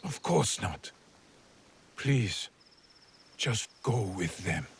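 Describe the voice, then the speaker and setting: A man playing a middle-aged, scheming feudal Japanese lord and stern father in a video game, measured and imperious, with veiled menace. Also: fairly low pitch, sing-song, gravelly, slight background noise, exaggerated voice. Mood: guarded, firm. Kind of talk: cinematic video game acting. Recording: music behind the voice. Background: background music.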